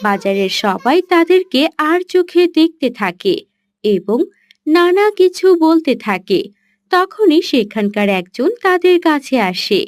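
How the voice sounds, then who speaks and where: A woman's voice: high voice, sing-song, unhurried, no echo. Dry-sounding woman narrator. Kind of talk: scripted story narration.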